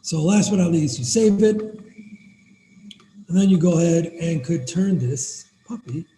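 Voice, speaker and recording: A man with a raspy voice, talking quickly and energetically as he walks viewers through a software demo on a screen share. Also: on the high side, reverberant, a little hiss or hum, rough recording. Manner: fast, energetic, addresses viewers as guys. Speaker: cleaning business owner giving a software demo. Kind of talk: casual screen-share tutorial.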